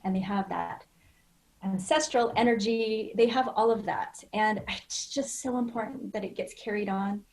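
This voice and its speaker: A woman's voice: mid-range voice, normal speed, a little mellow, low-quality recording. Earnest middle-aged American woman. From rural Idaho, United States. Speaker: podcast guest. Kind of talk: personal, reflective conversation.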